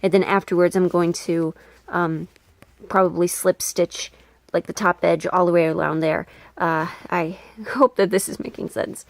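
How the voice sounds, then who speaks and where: A woman's voice: lowish voice, steady tone, unhurried, gravelly. A woman chatting casually through a craft project, self-mocking and prone to casual swearing. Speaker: sewing video creator. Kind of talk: casual craft vlog talk.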